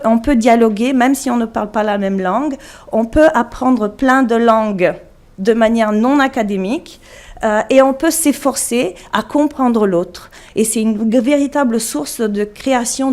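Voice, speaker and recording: A woman's voice: fairly high pitch, a little mellow. A woman speaking as a university lecturer, giving an explanatory conference presentation with frequent hesitations and self-corrections.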